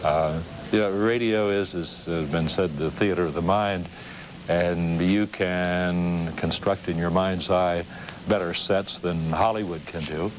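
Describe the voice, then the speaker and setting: A man with a low-pitched voice hosts a TV interview. He talks conversationally, halting and musing as he goes. The old broadcast recording is clearly noisy. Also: fairly even intonation. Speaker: TV interview host. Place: television studio.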